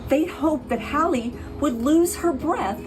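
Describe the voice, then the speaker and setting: A woman reading a children's picture book aloud in an expressive, deliberate way, with playful asides to her listeners. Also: high-pitched, over music. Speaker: picture book reader for a pet rescue show. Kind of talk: animated children's storybook read-aloud.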